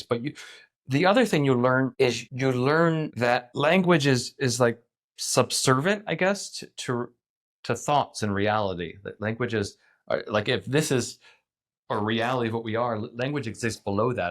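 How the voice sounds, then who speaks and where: Man musing freely in a relaxed chat. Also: on the low side. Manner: thoughtful, rambling, frequent restarts and "like". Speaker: podcast guest. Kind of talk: casual conversational interview.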